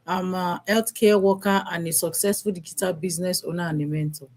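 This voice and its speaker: A woman's voice: fairly low pitch, steady tone, fairly quick, darkish tone. A woman with a Nigerian accent co-hosting a live session, introducing herself formally to viewers. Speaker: co-host, mentor.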